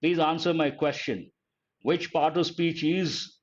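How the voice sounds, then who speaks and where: An older man with an Indian English accent teaches an online English grammar class, calling on students by name, asking questions and repeating the key points slowly. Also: a little high, fairly even intonation. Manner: addresses students by name, asks and answers his own questions. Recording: dull lo-fi audio.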